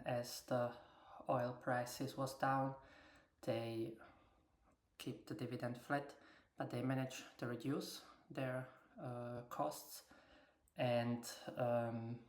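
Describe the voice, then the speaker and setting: An echoey recording of a woman talking through financial slides in English. She speaks slowly and haltingly, often stopping on filled pauses, and her intonation stays very flat. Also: very deep voice. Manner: non-native English with grammatical slips. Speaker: investor presenting earnings takeaways. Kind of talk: unscripted slide presentation commentary. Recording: echoey room sound.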